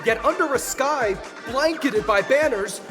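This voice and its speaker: An actor playing a bombastic knight contestant who declaims in a grand, loud manner in a game. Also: male voice, very high-pitched, animated, unhurried, music in the background. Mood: grandiose.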